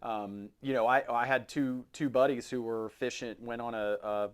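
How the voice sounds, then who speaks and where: A man's voice: medium pitch, fairly even intonation, moderate pace, smooth. A man co-hosting a podcast with casual banter, often repeating words as he gets phrases started. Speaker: podcast co-host.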